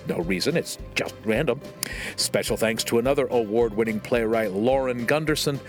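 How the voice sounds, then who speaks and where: A man's voice: mid-range voice, normal speed. A man hosting a podcast, delivering a scripted sign-off. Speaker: podcast host. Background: music.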